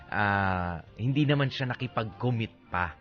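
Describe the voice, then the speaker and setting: A man's voice: on the low side, lively intonation, measured pace. A man with a Filipino accent co-hosting a radio show in casual, joking banter. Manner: jokey, conversational. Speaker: radio DJ and co-host. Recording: slightly dull audio, music bed.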